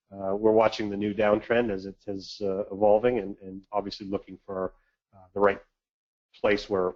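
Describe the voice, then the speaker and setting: Low-voiced man on dull conference-call audio. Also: darkish tone. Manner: halting, hesitant delivery with measured, businesslike phrasing. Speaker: investment manager presenting market commentary. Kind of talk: prepared market briefing on a conference call. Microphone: conference call line.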